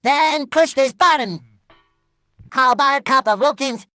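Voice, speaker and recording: A chirpy little cartoon salesman, pitched high and very dry. He pushes his product with perky insistence and a cheerful streak of menace, like a character in an old TV ad skit. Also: measured pace, bright, forceful tone, dry recording, faint music behind. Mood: perky, pushy.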